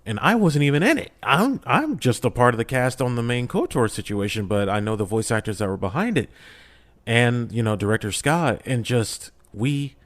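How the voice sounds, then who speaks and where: A man's voice: medium pitch, expressive, moderate pace. A man speaking as a voice actor guest on a podcast, casual and conversational, earnest and emphatic in how he makes his points. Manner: emphatic, earnest, acts out imagined quotes. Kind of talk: casual interview chat.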